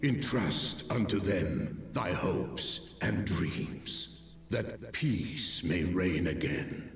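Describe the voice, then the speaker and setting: An actor voicing an ancient, solemn dragon in a video game, speaking in stately archaic phrases through a cavernous reverb. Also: male voice, lively intonation, very slow, exaggerated voice. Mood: solemn counsel. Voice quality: deep, gravelly. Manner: archaic, stately, portentous speech. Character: ancient, solemn male dragon, huge and grave. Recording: echoing long reverb, distant, faint music.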